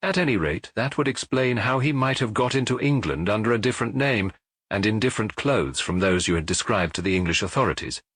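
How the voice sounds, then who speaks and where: A man voicing a brisk, suspicious provincial police inspector in an audiobook, businesslike and insistent. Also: lowish voice, expressive, fairly bright tone, dry recording. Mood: confident, suspicious.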